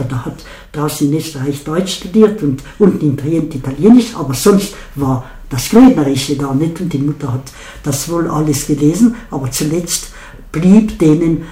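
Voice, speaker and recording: An elderly interviewee reminisces with emphasis and little tag questions. The sound is roomy and echoey. The voice sits at a middle pitch. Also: normal speed, dark tone. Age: older. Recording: echoey room.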